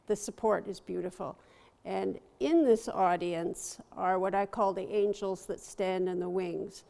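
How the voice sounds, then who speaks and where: An older woman artist from Toronto with a soft voice, telling stories slowly and with gentle humor to a live audience in a gallery. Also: medium pitch, steady tone, creaky. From Toronto, Canada. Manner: slow, storytelling, gently humorous. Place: gallery hall. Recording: long hall reverb.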